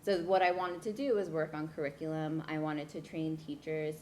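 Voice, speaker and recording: A woman in her thirties from New Jersey, telling her life story to a leadership workshop in an earnest way, with pauses and fillers. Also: low voice, fairly even intonation, darkish tone, slight background noise. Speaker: guest speaker. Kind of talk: personal storytelling talk. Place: event room.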